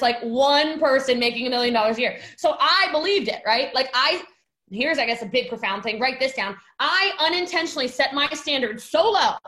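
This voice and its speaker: Woman in her 30s, bright voice, a bit high, chatty and animated, acts out quotes. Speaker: guest. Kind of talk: casual storytelling chat.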